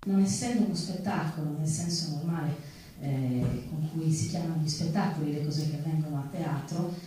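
A woman's low, mellow voice reaches us from far off in a very echoey theatre. She hosts from the stage in formal, measured phrases, pausing hesitantly as she introduces and thanks. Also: slight background noise. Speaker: event host introducing speakers. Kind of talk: live thank-you speech from a stage. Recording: very echoey theatre, distant mic.

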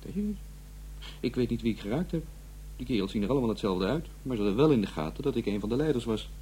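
A man's voice: mid-range voice, expressive, fast talker, mellow, close to an everyday voice. An actor playing a cold, defiant student son in his twenties in a radio drama, speaking curtly and without feeling. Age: young adult. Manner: curt, blunt, emotionless. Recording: faint noise and hum.

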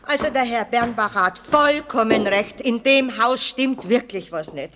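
A woman plays a fussy Bavarian widow who cleans house, in a children's radio play. Her voice is very high, lamenting and flustered, with a superstitious, grumbling streak. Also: measured pace, background noise, close to an everyday voice. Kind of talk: 1970s German children's radio play acting.

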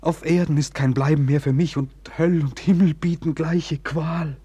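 An actor playing a heartbroken, despairing young lover in a 1940s German radio drama, with a husky voice and slow, pleading declamation. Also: male voice, fairly high pitch, steady tone, dark tone, dry recording. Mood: bitter despair.

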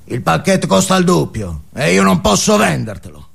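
Guy playing a gruff rude shop man, vulgar and impatient, stage monologue style. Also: fairly high pitch, wildly swooping pitch, normal speed, exaggerated voice. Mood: dismissive. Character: rude, vulgar, gruff male candy shopkeeper. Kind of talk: theatrical solo monologue.

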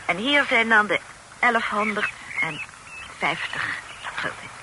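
A woman's voice: medium pitch, lively intonation, slow. An actress playing an indignant, fretful middle-aged lady of the house in a period radio drama, often repeating her words. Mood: brisk, polite. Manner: stammering, repeating words when agitated. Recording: noisy old radio play recording.

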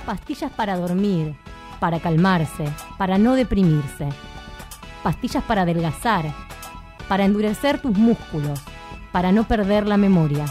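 A woman giving a measured, list-like scripted recitation in a produced radio piece. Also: mid-range voice, lively intonation, a little mellow, faint music behind.

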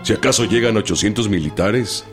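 A man plays a bold revolutionary colonel in a radio drama, with a low voice and a Mexican accent. He is frank and folksy, and music runs clearly behind him. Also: a little fast, close to an everyday voice. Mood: frank, insistent.

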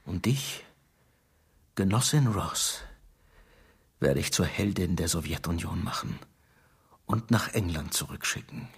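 An actor playing a boastful, seductive and fanatical Soviet security chief in a radio drama, theatrical in manner. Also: male voice, animated, a little slow, vocal fry, long pauses, breathy edge, full character voice. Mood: hushed, urging. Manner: theatrical, swinging from booming to hushed.

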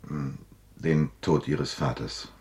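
A man's voice: very deep voice, steady tone, slow, darkish tone, distant mic. A man playing a determined telepathic police detective in a radio drama, terse and purposeful. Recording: echoey room, away from the mic.

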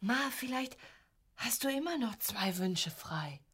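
Brief: a whiny, pleading teen girl in a radio play, bright and very animated. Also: medium pitch, measured pace, breathy edge. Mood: tentative. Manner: pleading, dramatic. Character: whiny, pleading, excitable teenage daughter. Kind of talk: radio drama acting.

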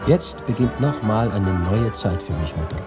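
An ageing man home from exile, played by an actor, speaks as a proud, nostalgic reporter in a radio feature. His delivery is expressive and literary, with music clearly behind him. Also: on the low side, moderate pace, close to an everyday voice. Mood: wistful, tender. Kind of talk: radio feature dramatic monologue.

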